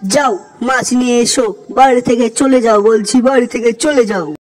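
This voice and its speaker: A woman's voice: on the high side, normal speed, darkish tone, lightly acted, rough recording. A woman voicing a nagging, distressed village mother in a cartoon, scolding and melodramatic. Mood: angry scolding. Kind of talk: cartoon dialogue.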